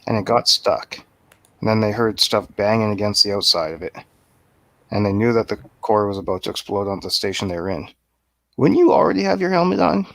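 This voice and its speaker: A man with a fairly low voice chats casually as a film review panelist. The sound is very dry, with no room around his voice. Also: expressive, brisk, creaky, fairly bright tone, no room sound. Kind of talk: casual chat.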